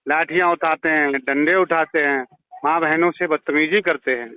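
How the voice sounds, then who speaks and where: A man's voice: fairly high pitch, fairly even intonation, moderate pace, no room sound. A man with a western Uttar Pradesh accent speaking as a villager on a phone call, pleading insistently and deferentially and repeating himself. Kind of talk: heated phone conversation. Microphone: phone line. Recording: tinny narrowband phone call recording.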